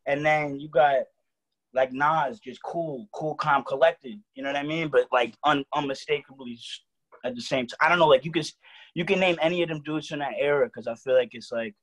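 A man's voice: on the high side, a little fast, low-quality recording. Slangy New York rapper man. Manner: relaxed slangy talk, "you know what I mean". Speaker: rapper guest. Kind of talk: casual video call chat. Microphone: video call.

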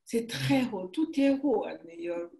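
A woman in her sixties from Rwanda with a Rwandan accent in French, a writer interviewed over a video call from her home, speaking haltingly and emphatically, often restarting her phrases. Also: on the high side, lively intonation, vocal fry, mellow. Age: 60s. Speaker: author guest. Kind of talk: conversational interview. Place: home room. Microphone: video call webcam.

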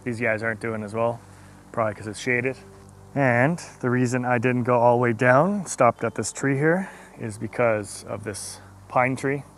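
A man's voice: medium pitch, a bit bright, slight background noise. A man in his thirties speaking casually and plainly as a gardening vlogger, explaining things as he goes. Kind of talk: casual narrated garden tour. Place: outdoors in a residential yard.

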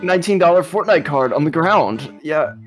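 A young man with a fairly high voice improvises comic dub lines in a halting way. His pitch moves around a lot, over clearly audible music. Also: lively intonation. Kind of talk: improvised comedic dubbing with friends. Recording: loud music behind the voice.

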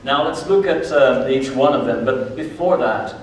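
A man's voice: medium pitch, animated, normal speed, slight background noise. Guy giving a conference talk, heavy echo, sounds far from the mic. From Brazil. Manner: friendly, conversational, gestures a lot. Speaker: conference speaker. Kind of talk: conference presentation. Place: stage of a large conference hall. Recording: echoey hall from far off.

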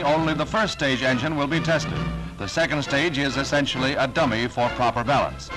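A man's voice: fairly high pitch, rough recording. Dramatic 1950s American newsreel narrator, male. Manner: formal, dramatic, authoritative delivery. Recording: vintage 1950s newsreel soundtrack.

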